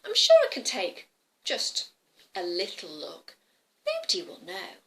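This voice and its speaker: A woman voicing a curious, excitable little girl in a children's story, full of wonder and drawn-out exclamations. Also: medium pitch. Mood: sneaky curiosity. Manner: breathless wonder, stretched-out exclamations. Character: curious, excitable little girl, easily amazed, a bit sneaky.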